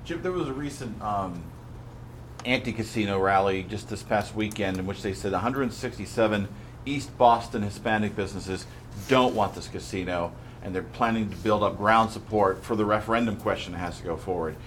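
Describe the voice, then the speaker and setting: Lowish-voiced male radio reporter, faint room noise. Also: moderate pace. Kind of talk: press questioning and mic checks. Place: indoor press conference.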